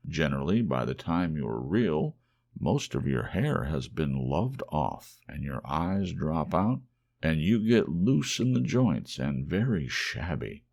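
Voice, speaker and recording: Man as wise old storybook horse, slow, low, creaky, gentle, reassuring. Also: sing-song, full character voice.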